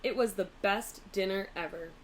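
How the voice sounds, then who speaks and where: A woman narrating a children's storybook aloud in an expressive, deliberate way. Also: medium pitch, slight background noise, rough recording. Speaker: teacher reading a picture book aloud.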